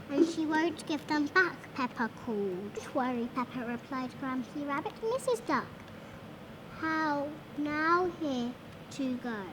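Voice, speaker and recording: A five-year-old girl reading a children's storybook aloud, haltingly sounding out words with care. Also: high-pitched, background noise. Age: child, about five. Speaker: young reader.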